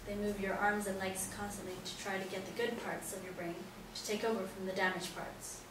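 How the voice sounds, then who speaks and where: A woman's voice: medium pitch, flat delivery, fairly quick, close to an everyday voice. Woman acting a practical mother, distant and echoey. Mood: caring, businesslike. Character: older mother, practical, caring, firm. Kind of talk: stage play reading. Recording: roomy echo, distant mic, noisy.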